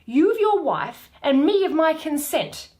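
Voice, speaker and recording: Lady doing angry older dad in shakespeare, insistent and declaiming, high pitched. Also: big pitch swings, a little slow, a little mellow. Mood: indignant. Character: Aegeus, indignant controlling older Athenian father, demanding. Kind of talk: solo Shakespeare reading.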